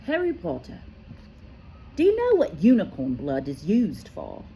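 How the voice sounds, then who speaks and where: A woman's voice: fairly low pitch, huge pitch swings, measured pace, mellow, slight background noise, heavily acted. A woman reading aloud voices a noble male centaur who speaks solemnly and earnestly.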